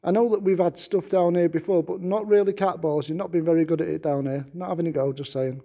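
A man's voice: a little high, fairly even intonation, fast, creaky, warm tone. A man with a Manchester accent, a ghost hunter politely calling out to a spirit in a stone castle room at night. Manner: polite, coaxing, repeats requests with please. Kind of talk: paranormal investigation calling out to spirits.

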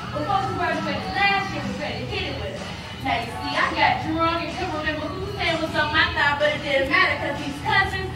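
A woman acting an impassioned young woman in a theatrical recitation of stage poetry. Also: extremely high pitch. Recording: very echoey hall, distant, loud noise.

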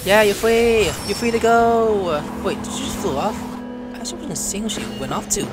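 A woman giving casual, excitable, exclamatory commentary while playing a video game, sometimes slipping into playful squeals. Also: mid-range voice, normal speed. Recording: loud game music behind.

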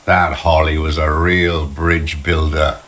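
Very deep, bitter old deserter. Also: male voice, little pitch movement, a bit bright, slight background noise, exaggerated voice. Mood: scornful. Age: older. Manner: slow, contemptuous, brooding. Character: bitter old communist deserter, male, hateful and rambling. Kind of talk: dramatic video game voice acting. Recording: music under the voice.

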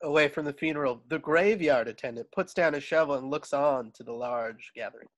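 A man's voice: fairly high pitch, brisk, dull audio. A man narrating screenplay stage directions expressively in a table read over a video call. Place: indoors at home on a video call. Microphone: video call microphone.

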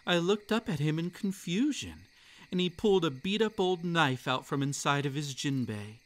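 A man narrating a scripted roleplay ASMR story in a soft, unhurried storytelling voice. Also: a little high, lively intonation.

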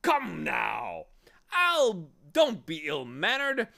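A big blustering beetle dandy from a children's story. The voice booms with self-importance, raised high and swinging dramatically as it brags and scolds. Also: male voice, huge pitch swings, drawn-out words, gravelly, bright, forceful tone, exaggerated voice. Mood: blustering, self-important. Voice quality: booming. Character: large, blustering humbug beetle, loud dandyish braggart. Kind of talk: theatrical children's storybook reading.

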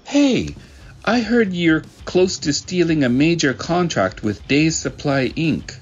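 A man's voice: on the high side, expressive, takes it slowly, lo-fi sound, soft background music. A man voicing an earnest, helpful young office worker in a manga dub, polite and supportive. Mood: concerned. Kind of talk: manga dub character acting.

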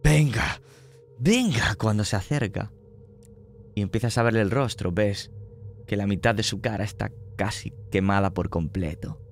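Low-voiced man narrating a horror game. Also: fairly even intonation, normal speed, slight background noise. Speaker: game master.

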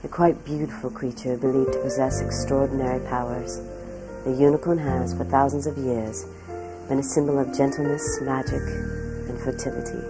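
A woman narrating a documentary from a script in a measured way, often posing questions. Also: extremely low pitch, fairly even intonation, loud music behind the voice, poor audio.

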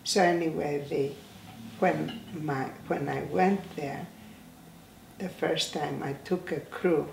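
An elderly woman in her eighties, from Greece, recalls her life in an interview, slow and halting with frequent restarts. Her voice has a gravelly edge. She sits in a room, picked up from a distance with a roomy echo. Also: deep voice, fairly even intonation, a little hiss or hum. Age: 80s. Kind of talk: oral history interview. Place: indoor room, seated in a chair. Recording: roomy, slightly distant sound.

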